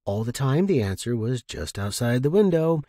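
A man with a husky voice reading first-person short fiction as an expressive narrator. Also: mid-range voice, normal speed, no echo, studio quality.

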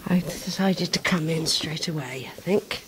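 A woman's voice: sits low, a little slow, heavy background noise. A woman with a West Country English accent giving a casual running commentary while filming a country church, chatty and trailing off as she goes. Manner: chatty, talks to herself, trails off. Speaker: vlogger touring a church. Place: outdoors by a country church, then inside it. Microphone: handheld camera mic.

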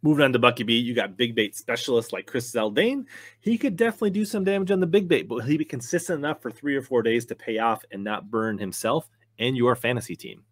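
A man's voice: mid-range voice, lively intonation, fast talker, no room sound. A man in his thirties hosting his own fishing channel, giving a casual, upbeat rundown of his picks from a small home studio. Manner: upbeat, conversational, talks with his hands. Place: small home studio with wood-plank wall.

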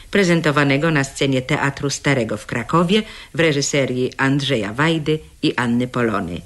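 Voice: medium pitch, a bit bright. A radio announcer giving a formal, scripted reading of drama credits.

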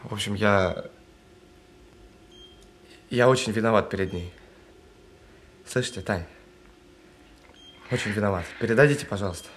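An actor in an audio drama playing a guilt-ridden bomb technician on the phone, speaking haltingly and earnestly. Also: male voice, fairly low pitch, slight background noise, lightly acted. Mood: remorseful, resigned. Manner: halting, earnest, apologetic, with stammered restarts. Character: guilt-ridden male bomb defuser saying goodbye by phone.

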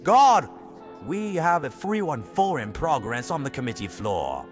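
A man's voice: a little high, big pitch swings, measured pace. A man playing a pompous, authoritarian world president in an audio drama. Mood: outraged. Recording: echoey hall, faint music behind.

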